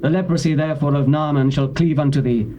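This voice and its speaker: An English actor playing a stern, righteous older prophet, speaking in a grave, measured way. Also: male voice, a little high, fairly even intonation, warm tone, slight background noise, natural voice. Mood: rebuking.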